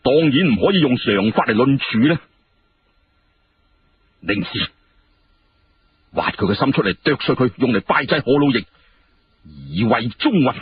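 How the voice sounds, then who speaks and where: A man voicing a stern, menacing Chinese emperor in Hong Kong Cantonese, imperious and deliberate, within a told story. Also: big pitch swings, creaky, slightly rough, dull audio, heavily acted. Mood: cold, ruthless. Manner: imperious, deliberate, low-voiced.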